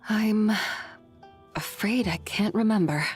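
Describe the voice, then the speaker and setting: An enigmatic immortal lady voiced by an actress speaks at a middling pitch with courtly poise. She is gracious and slyly playful, in the manner of fantasy game dialogue, over gentle music. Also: slow, natural voice. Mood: coy. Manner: elegant, teasing, archaic phrasing. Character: ancient adeptal woman, genteel, playful and enigmatic. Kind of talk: video game voice acting.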